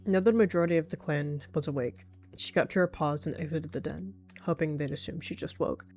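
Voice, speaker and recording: Low-voiced woman narrating a roleplay. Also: fairly quick, darkish tone. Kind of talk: third-person story narration in an animal fantasy roleplay. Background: faint music.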